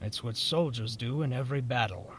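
An actor playing a bloodthirsty, menacing soldier conspirator, intense and dramatic, in a historical audio drama. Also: male voice, medium pitch, brisk, fairly bright tone, slightly rough, rough recording. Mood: steady.

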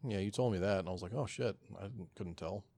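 Low-voiced man in casual chat. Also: quick pace, mellow, no room sound. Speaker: podcast co-host.

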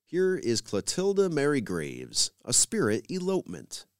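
A man's voice: mid-range voice, huge pitch swings, a little slow, no room sound, studio quality. A man hosting a radio program, reading scripted introductions and credits as a presenter.

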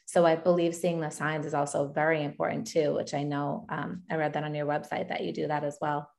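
A woman's voice: low voice, level tone, brisk, vocal fry, a little mellow. Friendly woman podcast host. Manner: friendly, affirming. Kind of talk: interview hosting.

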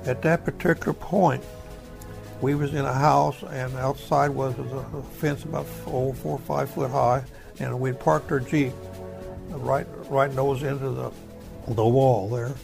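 An elderly American man recounts his army days. His voice is deeply gravelly and creaky, at an average pitch. He rambles in a plain folksy way, feeling for words, with soft music underneath. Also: fairly even intonation, a little slow, low-quality recording. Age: older, over 70. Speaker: war veteran. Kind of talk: oral history storytelling.

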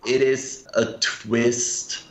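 A man with a Danish accent talks at an unhurried pace, pausing to find his English words between fillers. His voice sits at an ordinary pitch and rings in an echoey room. He is a guest chatting casually in an interview. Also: little pitch movement. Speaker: guest tour guide.

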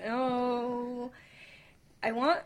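A woman's voice: fairly high pitch, flat delivery, very slow. A woman chatting candidly and bawdily as a panelist on a radio talk show. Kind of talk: candid, bawdy casual chat.

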